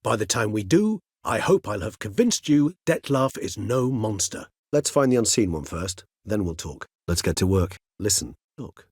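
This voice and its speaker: An actor playing a courteous, erudite, world-weary centuries-old vampire in a video game, speaking in measured, eloquent, formal phrases. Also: male voice, fairly low pitch, sing-song, slightly rough, dry recording, clean studio sound, full character voice. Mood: grave, earnest. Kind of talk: video game character dialogue.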